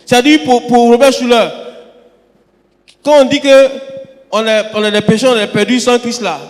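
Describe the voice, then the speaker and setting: High-voiced preacher in a reverberant church. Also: male voice, level tone, moderate pace. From Côte d'Ivoire. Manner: emphatic, repeats words and restarts often. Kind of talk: sermon. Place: church sanctuary. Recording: long hall reverb.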